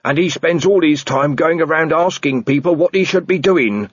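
Guy voicing a polite stubborn young constable, highish voice. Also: normal speed, slightly rough, dry recording, dull audio. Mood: insistent. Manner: politely insistent, ends lines with sir. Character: earnest dogged polite young male constable.

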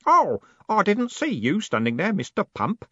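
A man's voice: sits high, huge pitch swings, normal speed, bright, forceful tone, slightly rough, dry recording, muffled recording, voice pushed up, exaggerated voice. A man voicing an earnest, obsessive boy apprentice in an audiobook, polite and thoughtful. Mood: cheerful.

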